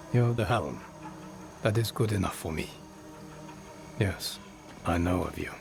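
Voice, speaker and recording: An actor playing a grim, curt male bounty hunter in a video game, terse and commanding with dry menace. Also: on the low side. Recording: loud music over the voice.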